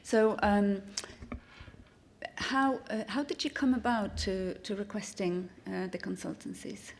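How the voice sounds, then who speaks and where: Halting woman chairing a conference session. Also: medium pitch, creaky. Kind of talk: informal panel moderation at a conference.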